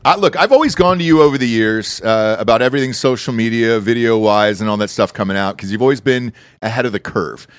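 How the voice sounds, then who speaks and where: A man's voice: medium pitch, fast talker, few pauses, clean tone, no echo. A man hosting a podcast in casual, blunt, profane chat, asking plenty of questions. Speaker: podcast host.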